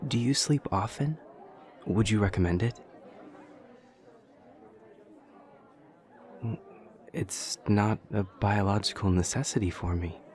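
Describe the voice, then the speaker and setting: A man playing an awkward, earnest, literal-minded demon college student in an audio roleplay, speaking in a stilted, over-precise, deadpan way with hesitant pauses. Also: fairly low pitch, close to an everyday voice. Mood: matter-of-fact, curious. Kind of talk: intimate audio roleplay acting.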